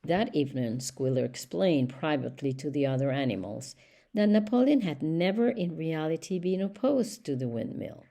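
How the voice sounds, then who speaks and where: A woman reading a storybook aloud as scripted narration, with measured, deliberate phrasing. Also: sits low, expressive, creaky, warm tone. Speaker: audiobook reader.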